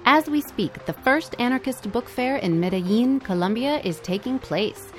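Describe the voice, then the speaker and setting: A woman's voice: a little high, animated, moderate pace. A woman hosting a podcast, reading scripted announcements of upcoming events. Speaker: podcast host. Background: music.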